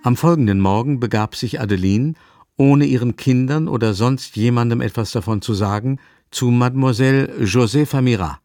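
A man narrating a classic novel in a radio drama, measured and composed. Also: medium pitch, warm tone, dry recording, soft background music, natural voice. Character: male literary narrator of a French novel.

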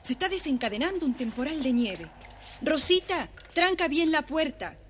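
An actress playing a kind, hospitable mother in a children's story record, with a gently commanding manner. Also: sits high, big pitch swings, normal speed. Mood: caring, instructing. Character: kind, hospitable widowed mother of two girls. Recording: faint noise, old children's story record.

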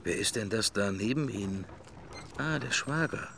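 A man's voice: on the low side, expressive, normal speed, creaky, fairly bright tone, a little hiss or hum. An actor playing a pensive middle-aged man who thinks to himself in clipped, wry fragments, in a radio drama interior monologue. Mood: detached musing.

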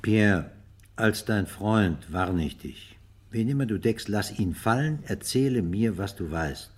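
An actor playing a skeptical police inspector in a radio crime drama, with a husky voice and a blunt, official manner. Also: male voice, fairly low pitch, lively intonation, measured pace. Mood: warning. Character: gruff, skeptical male police homicide inspector.

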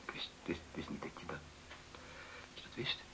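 An actor playing an evasive middle-aged man in a naturalistic radio drama, halting and stammering as he talks to his partner. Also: sharp tone, natural voice. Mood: stunned, subdued. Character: evasive, hesitant middle-aged male partner, casually teasing.

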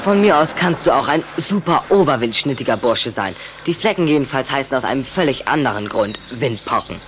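A man's voice: mid-range voice, animated, a little fast, noisy background, natural voice. Young man as an earnest, indignant schoolboy. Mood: defensive, explaining. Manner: matter-of-fact, reproachful, precise. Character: earnest schoolboy, the vampire's indignant human friend. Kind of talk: children's audio drama acting.

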